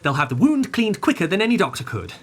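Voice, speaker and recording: A man's voice: high-pitched, sing-song, fast. A man playing an eccentric, enthusiastic insect expert in a comedic audio drama, pedantic and unfazed. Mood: unfazed relish. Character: eccentric, enthusiastic male insect expert improvising grisly surgery.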